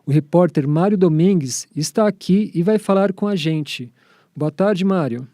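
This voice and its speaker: A man's voice: high-pitched, measured pace, dark tone, no room sound, studio quality. A Brazilian man hosting a radio program, presenting and putting questions in a scripted style. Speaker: radio host.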